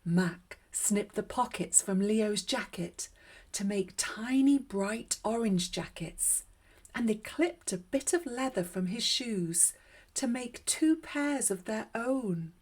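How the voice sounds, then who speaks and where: Slow-paced woman reading children's stories. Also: medium pitch, animated, darkish tone. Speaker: children's story reader and host. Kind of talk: read-aloud storytime narration for children.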